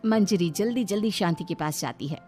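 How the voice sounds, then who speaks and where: A woman's voice: fairly low pitch, a little fast, a little mellow, soft background music. A woman narrating a scripted cartoon story as its storyteller.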